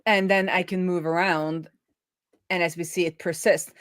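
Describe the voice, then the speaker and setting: A woman's voice: on the low side, steady tone, moderate pace, a bit bright, dry recording. Casual woman streamer, dry close sound. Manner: informal, thinking aloud. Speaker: live stream host, web developer. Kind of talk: casual live coding stream chat.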